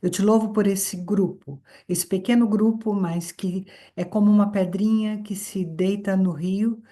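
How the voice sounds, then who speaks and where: A woman with a low, mellow voice leads a calm, earnest prayer over a video call. Her phrases are unhurried and reverent. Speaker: prayer leader. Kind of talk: spoken prayer.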